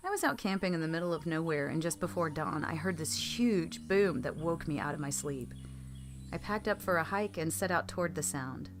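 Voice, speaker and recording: A woman reading creepy first-person stories aloud as a narrator, in scripted storytelling style. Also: fairly low pitch. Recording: clearly noisy background.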